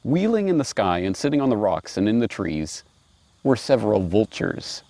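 A middle-aged man presenting and reading aloud in a musing, wry, expressive way, recorded outdoors on a lapel mic. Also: on the low side, normal speed, no echo. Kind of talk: reflective commentary and storybook reading. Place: outdoors in a grassy park.

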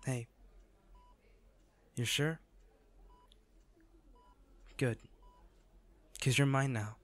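A man playing an injured, devoted boyfriend in an intimate audio roleplay, speaking tenderly, earnestly and haltingly. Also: mid-range voice, fairly even intonation, fairly bright tone. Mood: relieved, playful. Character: injured, devoted boyfriend proposing from a hospital bed.

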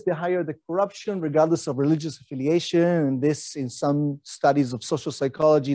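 Lecturing man, mellow voice. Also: a little high, dry recording. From Argentina. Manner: conversational, asks rhetorical questions, frequent fillers. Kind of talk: academic lecture talk.

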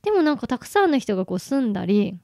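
A woman's voice: a little high, very animated, quick pace, creaky, mellow, no room sound, studio quality. A woman hosting a radio show, explaining things in casual, colloquial conversation. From Chiba area, Japan. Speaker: radio host.

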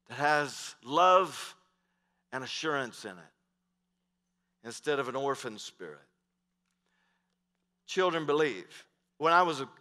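Animated middle-aged pastor preaching on stage. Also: male voice, a little high. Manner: animated, emphatic preaching that swings between loud and hushed. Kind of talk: sermon. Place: large church stage. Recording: long hall reverb.

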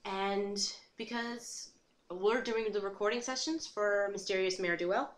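A woman's voice: medium pitch, measured pace. A woman casually introducing voice auditions as one of the actors. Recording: noisy home recording.

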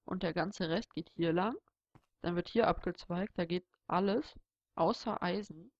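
A young man with a cold giving relaxed, rambling commentary over a game tutorial, thinking aloud as he goes. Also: sits high, unhurried, dry recording, lo-fi sound. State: has a cold. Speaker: Let's Play host. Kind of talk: casual gameplay tutorial commentary.

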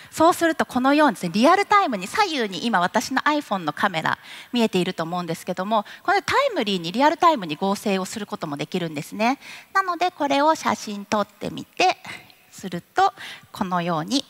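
A woman's voice: medium pitch, a bit bright. A young woman giving a live product demo on a conference stage, politely guiding the audience step by step. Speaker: product demonstrator. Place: large conference hall stage.

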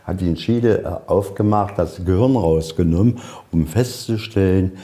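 A man's voice: deep voice, moderate pace, mellow. A man working as a museum guide, telling stories informally and folksily, often posing questions and answering them himself. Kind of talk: informal guided tour storytelling. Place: inside a museum.

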